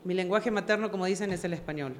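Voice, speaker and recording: A woman's voice: on the low side, fast, slight background noise. A woman, a podcast guest telling her story, hesitant and searching for words. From Argentina. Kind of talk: casual interview chat.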